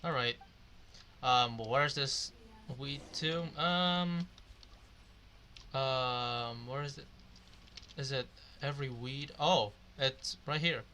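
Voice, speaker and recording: Male gamer, casual commentary: halting, self-directed mumbling, unhurried, faint noise behind. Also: mid-range voice, bright, forceful tone. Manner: muttering to himself, hesitant.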